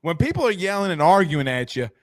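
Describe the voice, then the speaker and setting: A man hosting a sports podcast, interviewing in a casual, animated and very informal way. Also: high voice, fast talker, no room sound, studio quality. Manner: animated, informal, peppers talk with "you know, like".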